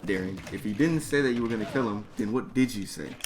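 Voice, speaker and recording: A man's voice: fairly low pitch, fast talker, darkish tone, close to an everyday voice. A man voicing an insistent female first-grade teacher who asks pointed questions, in a comedic story. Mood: interrogating. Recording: noisy background.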